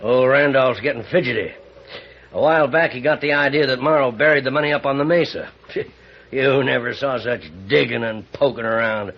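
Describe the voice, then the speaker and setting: An actor playing a bitter, greedy older rancher with an American accent and a folksy, needling drawl in a radio western. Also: male voice, medium pitch, brisk, natural voice. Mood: sly, scornful. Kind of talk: old-time radio western acting. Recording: muffled, noisy old radio recording.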